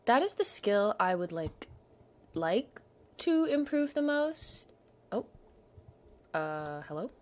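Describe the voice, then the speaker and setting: A young woman with a stutter, chatting casually at her desk about shopping, with lots of fillers and soft murmured asides. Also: mid-range voice, very animated, slow pace, long pauses. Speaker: vlogger. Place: home desk.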